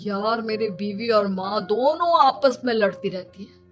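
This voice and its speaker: A woman's voice: mid-range voice, measured pace, faint music behind, lightly acted. A woman voicing a harried, exasperated young husband in a children's cartoon. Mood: troubled. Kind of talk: Hindi children's cartoon dubbing.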